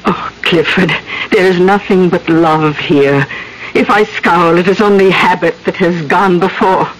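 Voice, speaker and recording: A woman's voice: lowish voice, a little slow, a little hiss or hum, natural voice, poor audio. An actress playing an old, scowling spinster in a radio drama, fretful, hesitant and tenderly protective of her brother. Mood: gentle, fretful. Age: older.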